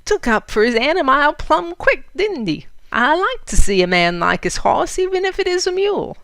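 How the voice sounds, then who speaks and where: A woman voicing a rowdy, amiable Wild West outlaw, yelling in a folksy way with an American Western accent. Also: sits high, big pitch swings, normal speed, no room sound, voice pushed up, exaggerated voice. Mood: amiable, amused.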